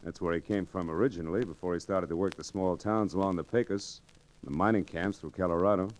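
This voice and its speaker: An actor playing a brash, wary saloon gambler in an old-time radio western, with a slangy, tough, offhand way of talking. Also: male voice, low-pitched, fast talker, a little mellow, close to an everyday voice. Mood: easy, amused.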